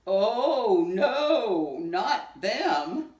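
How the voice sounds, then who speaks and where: Little male storybook ant, as a woman reads him: indignant, fair-minded, brisk scolder, animated, in an echoey room. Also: mid-range voice, distant mic. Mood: instructive.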